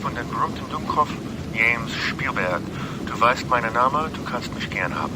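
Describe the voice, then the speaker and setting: A man's voice: expressive, moderate pace, lightly acted. A man playing a cheerful, absurd airline announcer with mock-formal patter that switches between languages. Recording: noisy tape with low rumble.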